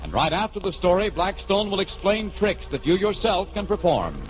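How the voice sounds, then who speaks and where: A man's voice: sits high, big pitch swings, moderate pace. A man working as a radio announcer, reading a script in a dramatic, emphatic 1940s style. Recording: muffled vintage radio recording. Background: loud music.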